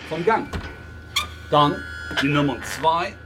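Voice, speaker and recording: An actor playing a matter-of-fact investigator in a radio drama, presenting evidence in halting fragments. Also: male voice, on the high side, animated, over music. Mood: businesslike. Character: male investigator briskly presenting evidence on site. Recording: loud background noise and ambience.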